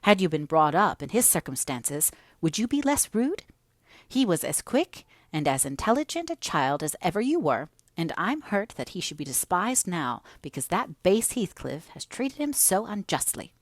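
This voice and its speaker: A woman's voice: on the low side, sing-song, moderate pace, no room sound, studio quality. A woman voicing a stern but caring female servant, earnest and reproving, in a novel reading. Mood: earnest reproach.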